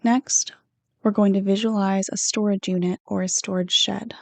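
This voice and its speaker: A woman reading a guided meditation script in a soothing, unhurried, gently instructive manner. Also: mid-range voice, steady tone, creaky, no echo. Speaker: counselor hosting guided meditations.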